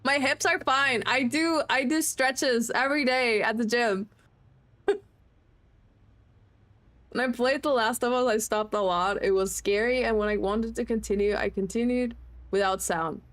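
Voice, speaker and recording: Chatty young woman streamer, slightly high voice. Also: a little slow, fairly bright tone. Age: late 20s. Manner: chatty, self-mocking, swearing freely. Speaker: game streamer. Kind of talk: casual livestream chat.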